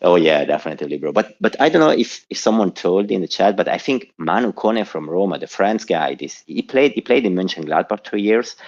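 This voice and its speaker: A man's voice: low-pitched, lively intonation, brisk, hardly any pauses, darkish tone, lo-fi sound. A man from southern Switzerland whose first language is Italian, calling in to a show and chatting casually in a rambling way, with many restarts. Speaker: caller. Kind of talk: casual call-in chat. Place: home in Zurich.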